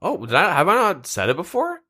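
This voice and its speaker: A man's voice: high voice, wildly swooping pitch, brisk, pushed and bright. Podcast co-host, male, plugged-up nose, easygoing. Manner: laid-back, lots of fillers like "like". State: stuffed-up nose from a cold. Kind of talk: casual chat. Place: shared room, two mics.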